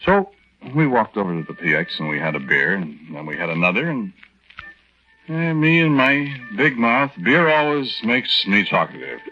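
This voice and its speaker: A man's voice: on the low side, very animated, normal speed, sharp tone, faint music behind. An actor playing a henpecked young American army private, telling his story in a hesitant, folksy, rambling way in 1940s radio style. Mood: confiding. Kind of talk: 1940s radio acting, conversational first-person narration. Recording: dull lo-fi 1940s radio recording.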